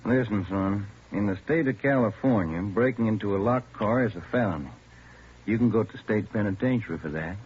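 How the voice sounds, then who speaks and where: An actor playing a steady police detective partner in a 1950s radio drama, firm and measured. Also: male voice, lowish voice, breathy edge. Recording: dull old radio broadcast with faint hiss.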